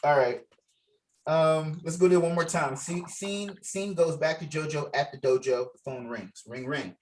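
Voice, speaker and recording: A man's voice: on the high side, unhurried, darkish tone. A man casually running a script table read over a video call, giving directions and reading out stage directions. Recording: distant mic on a video call.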